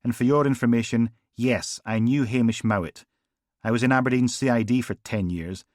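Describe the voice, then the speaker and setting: A man's voice: medium pitch, moderate pace, a little mellow, no room sound, pitched above the performer's own voice, natural voice. A man voicing a blunt, defiant male police sergeant with a dry, sardonic manner.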